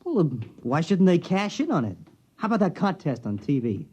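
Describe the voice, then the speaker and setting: Breezy, higher-pitched boy. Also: big pitch swings, fairly quick, warm tone, breathy edge. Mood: amused, irreverent. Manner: casual, slangy. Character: breezy, irreverent small-town boy. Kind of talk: 1950s radio acting. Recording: restored vintage radio recording.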